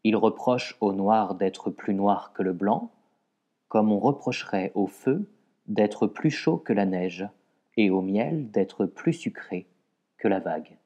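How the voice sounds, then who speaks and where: A man reciting poetry in a measured way, pausing between short phrases. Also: fairly low pitch, lively intonation, mellow, dull audio. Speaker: poetry reader.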